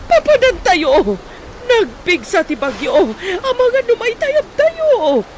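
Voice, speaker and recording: A woman's voice: extremely high pitch, big pitch swings, slow pace, full character voice, rough recording. A woman playing a fearful, distressed woman caught in a storm in a radio drama. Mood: panicked. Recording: faint storm-like background noise.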